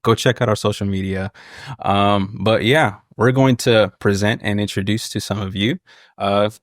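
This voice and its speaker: Young male co-host, low voice, dry close sound, upbeat intro. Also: fairly even intonation, moderate pace, no echo. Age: 20s. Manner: upbeat, loose intros with fillers. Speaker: podcast co-host. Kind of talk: casual podcast hosting.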